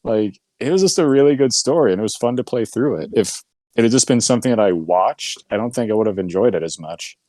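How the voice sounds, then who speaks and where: A man's voice: fairly low pitch, lively intonation, quick pace, darkish tone, no echo, studio quality. A man co-hosting a podcast, chatting casually about video games and telling anecdotes with quoted dialogue. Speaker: podcast co-host.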